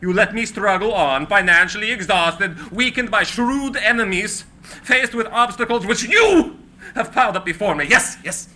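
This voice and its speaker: A man's voice: sits high, animated, bright, forceful tone, exaggerated voice. A man playing a brilliant, eccentric, proud and desperate inventor in a theatrical, impassioned dramatic script reading. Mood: indignant, impassioned. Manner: theatrical, impassioned, halting when agitated. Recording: live room recording with faint background noise.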